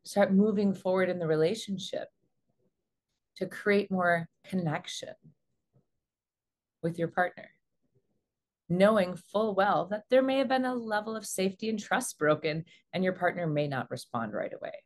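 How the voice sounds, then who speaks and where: A woman in her forties speaking as a relationship coach, explaining things to camera in an earnest, measured way. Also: on the low side. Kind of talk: explanatory advice talk to camera. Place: small indoor room.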